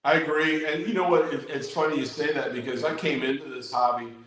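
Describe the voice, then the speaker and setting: A man's voice: a little high, steady tone, brisk, fairly bright tone. A man, a guest who tells stories eagerly in casual chat with many "you know"s. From Virginia, USA. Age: 60s. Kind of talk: casual live-stream chat. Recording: very echoey room.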